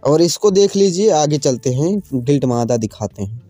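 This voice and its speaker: A man's voice: a little high, expressive, moderate pace, dark tone, no room sound, faint music behind. A man narrating a casual farm vlog, informally addressing viewers as friends. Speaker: farm video channel host. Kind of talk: casual vlog narration, showing livestock for sale.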